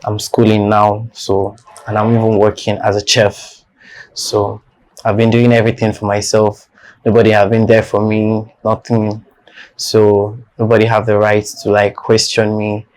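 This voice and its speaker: A man's voice: fairly low pitch, completely flat delivery, moderate pace, low-quality recording. A young man with a Nigerian accent, a guest in an interview, talking bluntly and defiantly and repeating his points for emphasis. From northern Nigeria. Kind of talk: candid personal interview.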